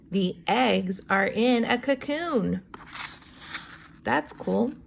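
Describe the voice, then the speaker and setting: Slow-paced woman teacher reading to young children. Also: mid-range voice, creaky, slight background noise. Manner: slow deliberate reading, chatty asides with wondering exclamations. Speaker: kindergarten teacher reading aloud. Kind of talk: children's read-aloud with teaching commentary.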